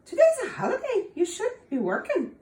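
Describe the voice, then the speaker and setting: A woman voicing a clever young farm lad who talks in a squeaky, excited, boastful way, in an echoey room. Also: moderate pace, full character voice. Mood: sweet-talking.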